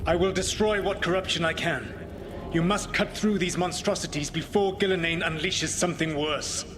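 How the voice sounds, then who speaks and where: An actor playing a stern, burdened elven god in a video game, speaking in a grave, formal, measured way. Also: male voice, fairly high pitch, fairly even intonation, noisy background, close to an everyday voice. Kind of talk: dramatic video game acting.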